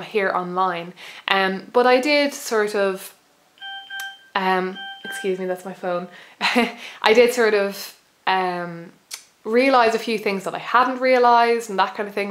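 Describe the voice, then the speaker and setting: A young Irish woman in her twenties, bilingual, talking to camera in a casual, reflective way with many pauses, restarts and small laughs. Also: medium pitch. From Ireland. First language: bilingual Irish speaker. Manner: hesitant, many ums and restarts, soft laughs. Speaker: pagan video blogger.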